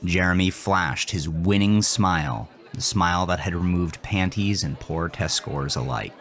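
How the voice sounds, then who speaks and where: A man hosting and narrating a scripted horror story in an intense, deliberate, dramatic way. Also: deep voice, a bit bright, a faint crowd. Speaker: host, author and narrator.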